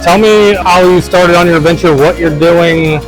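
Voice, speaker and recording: A middle-aged man hosting a motorcycle show, chatting casually in a relaxed, rambling way and reading sponsor lines. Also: high-pitched, moderate pace, poor audio. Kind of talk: casual chat and sponsor reads. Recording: music playing underneath.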